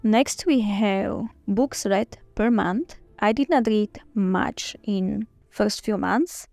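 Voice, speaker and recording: Give me a woman chatting slowly and eagerly, with a gravelly edge to her voice. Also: mid-range voice, darkish tone, dry recording, soft background music. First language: Slovak. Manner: chatty, enthusiastic, with small restarts. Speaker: book vlogger. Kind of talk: casual reading-journal flip-through.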